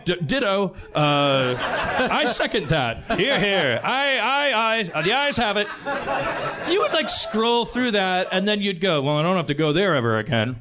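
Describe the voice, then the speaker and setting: A man hosting a comedic talk podcast in a rambling, halting way, often stammering and repeating words. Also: high-pitched, lively intonation, heavy background noise. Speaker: podcast host.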